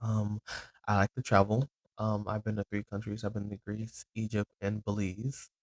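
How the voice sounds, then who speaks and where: Man in his twenties, low-pitched, casual rambling podcast host. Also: monotone, normal speed, dry recording. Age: 20s. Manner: rambling, loose, with many ums and self-corrections.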